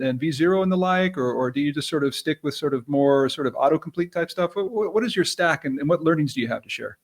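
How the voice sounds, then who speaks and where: Guy with a highish voice hosting a live q&a, casual and chatty. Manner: informal, chatty. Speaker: interview host.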